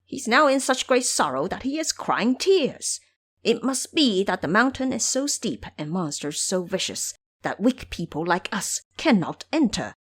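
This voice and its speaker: A woman's voice: mid-range voice, big pitch swings, unhurried, fairly bright tone, husky, heavily acted. A woman voicing a lazy, blustering old male boar monster in an audio drama, grumbling and blunt. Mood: retorting.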